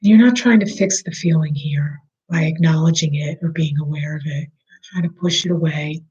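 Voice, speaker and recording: A meditation guide leading a slow, soothing breathing meditation, speaking softly and at times almost in a whisper. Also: mid-range voice, muffled recording.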